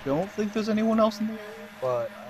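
A man's voice: high voice, very animated, quick pace, noisy background. A man co-hosting a gaming show, giving casual, joking commentary during co-op play. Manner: playful, joking. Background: game audio and music.

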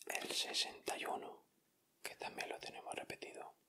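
A man whispering softly and deliberately in an ASMR style. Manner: soft, unhurried, deliberate narration of each item. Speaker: ASMR video creator. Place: indoors at a table.

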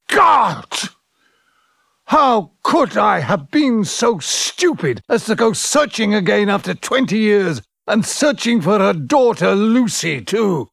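A man's voice: high-pitched, vocal fry, dry recording, clean studio sound, heavily acted. A man acting a rueful, self-pitying character looking back on old love affairs in an audio drama, theatrical and exclamatory. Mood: anguished, exclamatory. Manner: theatrical, exclamatory, halting reflective phrasing. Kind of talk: intimate audio drama monologue and dialogue.

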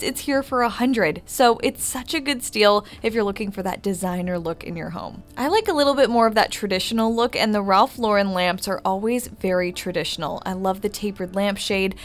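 A woman interior designer talking casually and upbeat as she walks through a home decor store, giving shopping tips in a voice-over. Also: mid-range voice. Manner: upbeat, chatty recommendations. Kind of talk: shop-with-me voice-over.

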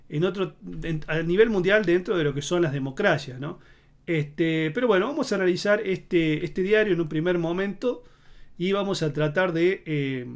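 A man in his thirties with a Córdoba Argentine accent, giving rambling commentary to camera with many fillers and restarts. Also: high voice. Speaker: political commentator. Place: indoor home studio in front of a green screen.

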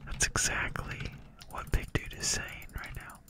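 A person commentating a livestream in a breathy ASMR-like whisper, with a playful, deadpan mock-announcer delivery. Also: measured pace.

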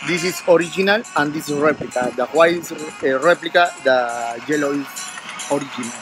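Eager Peruvian valet, music underneath. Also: male voice, on the high side, normal speed, low-quality recording. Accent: Peruvian Spanish. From Peru. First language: Spanish. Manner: eager, broken English, animated. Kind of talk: street interview. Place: outdoors on a city street.

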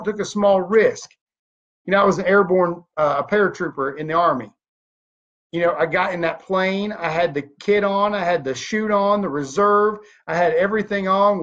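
A man of thirty-nine giving an emphatic motivational talk to a webcam from a home office, full of rhetorical questions. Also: high-pitched. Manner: emphatic, animated hand gestures, rhetorical questions. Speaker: network marketing leader. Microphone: webcam at desk. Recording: dull lo-fi webcam audio.

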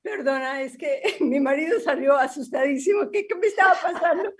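A woman's voice: high voice, moderate pace. A woman chatting casually on a noisy home video call. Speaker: actor taking part in workshop. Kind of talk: casual chat.

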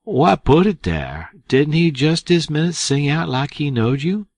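A man voicing a cocky, scheming teenage boy who asks sharp, mock-innocent questions. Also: on the high side, very animated, a little slow, husky, dry recording, studio quality, voice pushed up, full character voice. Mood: mock puzzled.

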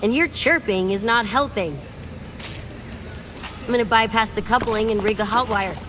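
A woman's voice: on the high side, moderate pace, noisy background, natural voice. A woman voicing a guarded young female drifter in video game dialogue, terse, dismissive and defiant. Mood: curt, irritated.

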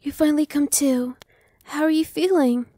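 A young woman voicing a gentle female vampire who speaks softly and slowly, sometimes whispering. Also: sits high, fairly even intonation, no echo, natural voice. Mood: soothing. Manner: soft, slow and reassuring, dropping to whispers. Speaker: voice actress. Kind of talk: ASMR roleplay.

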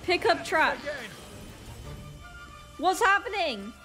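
A woman's voice: very high-pitched, sing-song, slow, sharp tone, soft background music. A young woman with a Northern Irish accent, sick, doing flustered casual commentary over a game she is new to. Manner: flustered, exclaiming often. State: sick, voice a bit off. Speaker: gaming YouTuber. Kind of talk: casual let's-play commentary.

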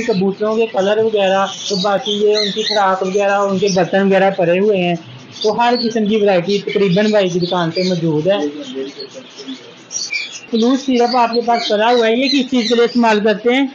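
A man's voice: high voice, steady tone, normal speed. A man with a Pakistani accent hosting a casual market tour, asking prices and repeating the answers back. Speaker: vlogger interviewing a shopkeeper. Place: busy bird market shop. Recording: noisy lo-fi market recording with bird sounds.

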